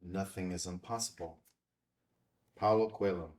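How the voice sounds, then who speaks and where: An actor playing a terse, guarded investigator with a dry edge in a screenplay table read. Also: male voice, sits low, steady tone, a little slow, a little mellow, far from the mic. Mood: subdued.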